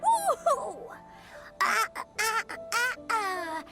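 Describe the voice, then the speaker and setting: Casting: cheerful small child, piercingly bright and very high, bouncy game delivery, soft music behind. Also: female voice, big pitch swings, slow, exaggerated voice. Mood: excited. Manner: bouncy, eager. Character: excitable, cheerful little child. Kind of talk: anime-style game voice acting.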